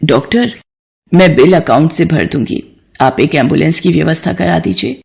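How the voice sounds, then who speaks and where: Lady doing a soft gentle older auntie, calm and kind, cartoon voice. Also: deep voice, normal speed, creaky. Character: kind wealthy older woman, injured, gentle.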